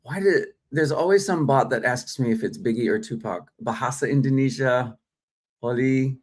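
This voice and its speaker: A man's voice: mid-range voice, moderate pace. A middle-aged man from Australia hosting a live acting stream, chatting casually and reading out viewer comments with many ums and uhs. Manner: chatty, reads viewer comments, frequent fillers. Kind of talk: casual livestream chat. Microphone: phone or desk mic, livestream.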